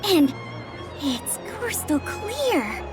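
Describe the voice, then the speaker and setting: Formalna młoda funkcjonariuszka, wysoki głos. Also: female voice, very high voice, big pitch swings, very slow, over music, heavily acted. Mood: officious. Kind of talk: video game voice acting.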